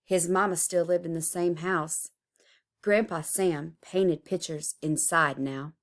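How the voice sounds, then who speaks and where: Slow, lower-voiced woman reading a storybook aloud. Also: steady tone. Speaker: storybook reader. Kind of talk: expressive children's read-aloud of a picture book.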